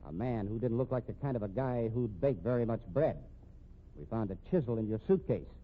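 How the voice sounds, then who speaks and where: An actor playing a wry, dogged police captain with a folksy, probing manner in a 1950s radio detective drama. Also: male voice, mid-range voice, lively intonation, a little fast, lo-fi sound, natural voice. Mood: stern, confident. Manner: folksy, hesitant probing with casual uh and hmm. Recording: thin, band-limited 1950s radio recording.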